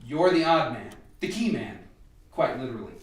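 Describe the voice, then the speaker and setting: A man acting in an amateur radio play as a calm, instructive scientist briefing a lab team with measured delivery. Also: mid-range voice, natural voice. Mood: grave, explanatory. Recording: echoey room, distant mic, faint music.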